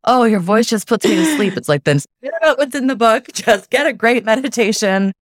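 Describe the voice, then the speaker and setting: A woman speaking in an unhurried, soothing voice as an interview guest. She talks in a relaxed, easy way. The sound is dry and close, with no room around it. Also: on the high side, no echo. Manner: soft, calming, easy delivery. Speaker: guest, life coach. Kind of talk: casual interview chat.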